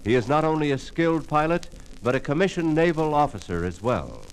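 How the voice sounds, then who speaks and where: A man's voice: fairly high pitch, big pitch swings, brisk, slight background noise, rough recording. A man reading a scripted recruiting announcement in formal, persuasive 1950s radio style, on a vintage recording. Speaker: announcer of a navy recruiting spot.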